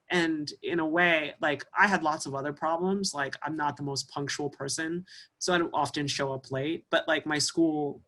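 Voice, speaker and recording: A woman's voice: low-pitched, fairly bright tone. Canadian musician lady chatting away in an interview, tons of fillers. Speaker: musician guest. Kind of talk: casual interview chat.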